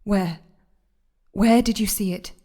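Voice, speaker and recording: Eerily calm, mellow woman. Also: mid-range voice. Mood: guarded. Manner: measured, guarded, detached. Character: eerily calm, evasive, confused woman patient. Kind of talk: naturalistic audio drama acting.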